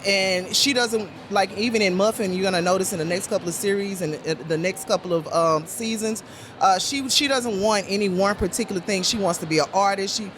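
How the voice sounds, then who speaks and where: A woman with a deep voice talking as a podcast guest, rambling with many restarts and fillers. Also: noisy background. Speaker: guest, puppet show creator. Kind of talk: casual podcast conversation.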